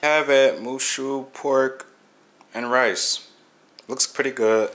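A man's voice: mid-range voice, steady tone, slow pace, a bit bright, far from the mic. A young man chatting casually on a fitness vlog, laid-back and slangy, filmed at home and outdoors on a camera mic. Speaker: fitness vlogger. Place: home kitchen and outdoor field. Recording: roomy sound from off mic.

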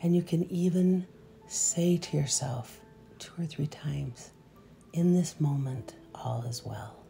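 A low-pitched woman with heavy vocal fry guides a meditation. She is soft and soothing, trailing into breath as phrases end, and takes her time with gentle, unhurried delivery. Meditation music plays behind her.

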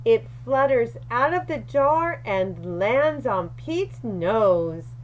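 A woman reads a picture book to young children. Her voice is high and swings widely in pitch, and she takes her time over each phrase, very slowly and deliberately. There is faint noise behind her. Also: dull audio. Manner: animated, deliberate, with questions and asides to young listeners. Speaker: read-aloud storyteller for young children. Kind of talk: expressive picture-book read-aloud.

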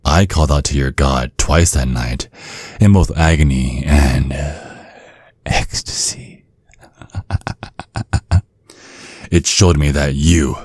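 A man acts out a vengeful male vampire for an ASMR roleplay, with an extremely low, dark voice. He speaks slowly and teasingly, in a sultry husk, close and dry. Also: no room sound, exaggerated voice. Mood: smug, sensual. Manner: taunting, sultry, breathy pauses. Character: seductive, vengeful male vampire, former protégé of a priest.

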